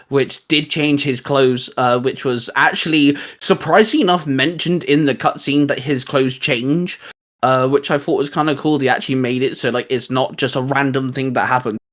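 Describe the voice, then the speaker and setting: A man's voice: mid-range voice, a bit bright. A man hosting a casual gaming chat, talking in an enthusiastic, rambling way. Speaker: host.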